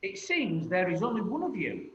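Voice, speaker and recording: A man's voice: high-pitched, wildly swooping pitch, normal speed, fairly bright tone, faint music behind, full character voice. A man playing an officious, dim-witted palace guard in a pantomime, recorded in an echoey, noisy space. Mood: officious, deadpan. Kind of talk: slow rhyming pantomime acting. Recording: echoey hall, dull, noisy.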